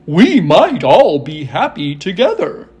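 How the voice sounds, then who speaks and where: A storybook scarecrow in charge, voiced by a man. He speaks very deliberately and slowly, pleased with himself and thoughtful, with a husky edge. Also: on the high side, huge pitch swings, a little mellow, full character voice. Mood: pondering. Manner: slow, deliberate. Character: self-satisfied thoughtful male scarecrow ruler.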